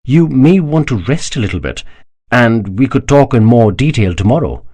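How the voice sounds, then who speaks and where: A man's voice: medium pitch, lively intonation, moderate pace, a little mellow, pitched above the performer's own voice, lightly acted. A man reading a proud, devout emperor who speaks with solemn courtesy and rising passion. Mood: courteous. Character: proud emperor, older man, fervent believer.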